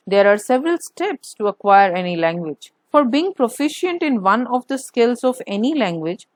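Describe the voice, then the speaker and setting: A woman's voice: fairly high pitch, no echo. A woman giving an earnest language lesson, asking and answering her own questions. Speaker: language teacher, channel host. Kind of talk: explanatory lesson to camera.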